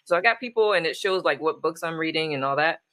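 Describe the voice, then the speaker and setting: A woman's voice: low-pitched, expressive, a bit bright. A woman hosting a casual conversation in an informal, easygoing way. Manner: informal, quick to laugh. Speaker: host. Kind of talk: casual chat.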